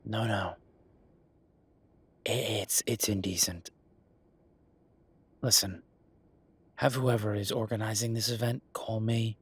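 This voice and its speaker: Guy playing a fed up werewolf office bureaucrat, deep raspy voice, dry and firm, audio rp. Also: steady tone, takes it slowly, pauses often, full character voice. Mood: weary, exasperated. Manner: exasperated, emphatic, repeating himself. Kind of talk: audio roleplay acting, one side of a phone call.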